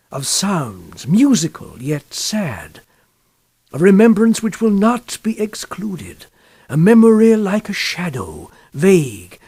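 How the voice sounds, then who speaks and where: A male reader performs a gloomy nobleman recounting a gothic tale in literary, dramatic style. His voice sits a little high and moves widely in pitch, with solemn, measured phrasing and deliberate pauses. The sound is close and dry. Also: darkish tone, no room sound. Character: gloomy, brooding male narrator from an old noble family. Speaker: audiobook reader.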